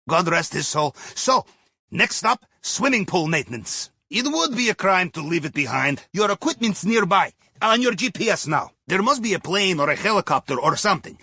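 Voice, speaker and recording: A man's voice: high voice, pushed and bright, breathy edge, dry recording, studio quality, exaggerated voice. A man playing a wry, jovial Russian submarine captain who guides a heist crew in a video game, speaking with a Russian accent and a conspiratorial manner. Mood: wry, amused. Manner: wry, conspiratorial, folksy asides. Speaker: mission guide giving instructions. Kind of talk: video game mission dialogue.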